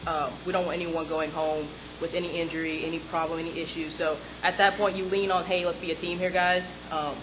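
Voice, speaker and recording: A woman's voice: deep voice, flat delivery, fairly quick, few pauses. A young woman on a discussion panel who talks emphatically and projects her voice. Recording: noisy live event recording.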